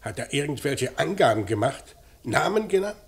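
An actor playing a probing, businesslike police inspector in a mid-century radio crime drama, asking short, clipped questions. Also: male voice, on the high side, big pitch swings, moderate pace. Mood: probing, insistent. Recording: noisy old radio drama recording.

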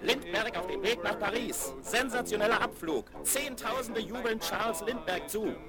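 An actor playing an excited man declaiming headlines to his mates in a 1970s radio play. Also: sits high, moderate pace, over loud music, close to an everyday voice. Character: excited man reading newspaper headlines aloud to workmates.

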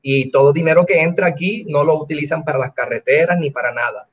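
Chatty Puerto Rican man, higher voice. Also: quick pace, breathy edge, muffled recording. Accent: Puerto Rican Spanish. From Puerto Rico. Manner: chatty, keeps asking "me entiendes?". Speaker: guest comedian. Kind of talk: casual interview over a call.

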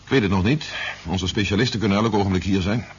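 An actor playing a brisk, fatherly army general in a Dutch science fiction radio drama, authoritative and measured. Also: fairly low pitch, husky. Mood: calm, reassuring. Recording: dull, noisy 1970s radio recording.

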